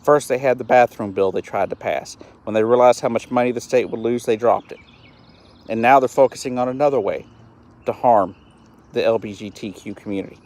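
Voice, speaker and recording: A man's voice: medium pitch, brisk, darkish tone. A man, hosting a solo live stream and talking earnestly and with frustration, often stopping to restart his words. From East Texas, US. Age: 30s. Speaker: host of a live-stream show.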